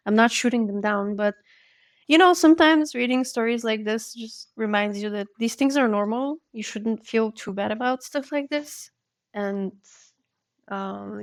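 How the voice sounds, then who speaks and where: A woman co-hosting a podcast, asking questions and chatting in an earnest, talkative way. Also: mid-range voice. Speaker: podcast co-host. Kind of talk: casual podcast chat.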